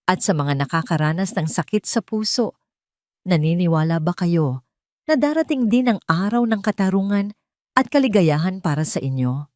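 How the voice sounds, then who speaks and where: A woman's voice: lowish voice, animated, normal speed, darkish tone, no echo, clean studio sound. A woman reading a scripted, dramatic romance story as narrator.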